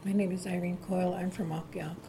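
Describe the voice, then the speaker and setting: A woman in her fifties from Alaska introduces herself with short, cheerful answers. Her voice is a touch low, with clear noise in the room behind her. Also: flat delivery, quick pace. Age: 50s. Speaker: interviewee. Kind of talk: group oral history interview. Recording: noisy room recording.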